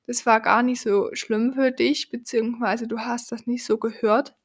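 A woman hosting a podcast, talking slowly and thoughtfully to the listener, her voice deepened by a cold. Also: level tone. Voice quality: lower than usual from a cold. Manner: slow, thoughtful, addresses the listener directly. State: has a cold. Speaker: podcast host. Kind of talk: reflective podcast monologue.

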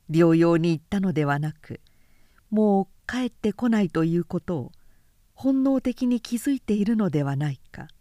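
Female audiobook narrator: medium pitch, composed literary storytelling, careful pacing, very dry sound. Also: dark tone, no room sound. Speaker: narrator reading aloud.

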